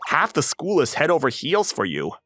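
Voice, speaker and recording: A man playing a flustered, introverted high school boy and aspiring writer in an anime-style comic dub, animated and prone to exasperated lecturing. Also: normal speed, dry recording, studio quality, heavily acted. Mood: hesitant, surprised.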